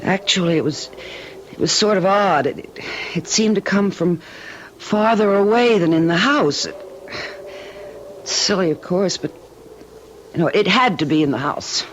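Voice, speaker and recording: A woman's voice: on the low side, lively intonation, a little slow, pauses often. A woman acting a frightened, weary but stubborn wife in a vintage radio drama, with hesitant, stammering speech. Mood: insistent, anxious. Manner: hesitant, stammering, repeats words. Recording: dull, noisy old radio recording.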